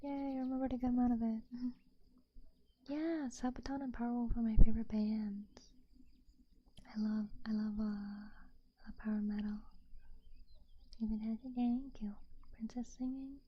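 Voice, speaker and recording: A woman ASMR streamer chatting with viewers in a soft, gentle whisper, sounding sleepy. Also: a little high, fairly even intonation, normal speed, pauses often, husky, lo-fi sound.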